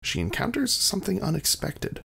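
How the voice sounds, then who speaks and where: A man's voice: high-pitched, huge pitch swings, normal speed, gravelly, sharp tone, slightly rough. A man reading scripted narration, announcing the scenes of an audio drama.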